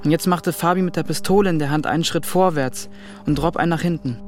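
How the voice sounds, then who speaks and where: A man's voice: on the high side, fast talker, soft background music, lightly acted. A young man playing a tense teenage boy who recounts in plain, clipped phrases in a radio play. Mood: tense, matter-of-fact. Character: tense teenage boy recalling a rooftop confrontation. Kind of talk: German radio play acting.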